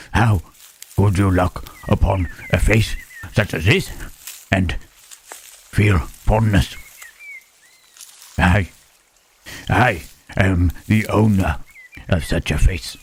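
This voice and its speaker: A man voicing a gentle, self-loathing Frankenstein's monster in an ASMR roleplay, speaking in halting, formal phrases with a husky, rough voice. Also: sits low, a little hiss or hum. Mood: tormented self-loathing. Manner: halting, formal phrasing with searching tag questions. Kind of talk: slow ASMR roleplay monologue.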